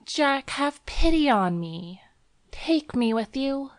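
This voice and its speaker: A woman's voice: high-pitched, very animated, slow pace, no room sound, pitched above the performer's own voice, heavily acted. A woman voicing a tender, anxious young woman in an audiobook story, nervous and pleading. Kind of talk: audiobook character dialogue.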